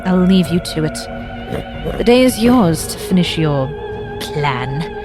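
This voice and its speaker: A woman plays a haughty female orc warlord in a fantasy audio drama. Her low voice swings widely in pitch as she sneers out orders with cruel, imperious weight. Music runs beneath her. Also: expressive, slow pace. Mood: cold, commanding.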